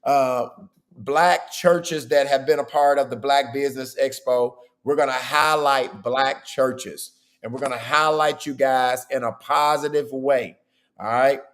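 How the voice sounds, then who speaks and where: A man hosting a podcast in a casual, chatty way. His voice is hoarse and worn, and he keeps checking in with "okay?". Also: mid-range voice, fairly even intonation, unhurried, a bit bright. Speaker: podcast host.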